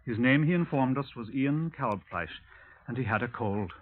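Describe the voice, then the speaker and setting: A thin, narrow old radio recording of a man playing a police sergeant. His voice is mid-pitched, formal and matter-of-fact, with a deadpan edge to both questions and narration. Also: normal speed, lightly acted. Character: brisk, deadpan Scotland Yard sergeant. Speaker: detective and narrator. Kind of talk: 1950s radio drama acting. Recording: thin, band-limited 1950s radio recording.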